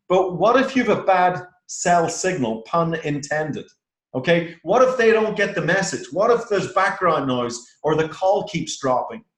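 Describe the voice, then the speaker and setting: Irish man, rehearsed sales pitch, persuasive and upbeat, higher voice, echoey conference call audio. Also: fairly bright tone. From Ireland. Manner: upbeat and persuasive, with frequent "uh" and "okay?".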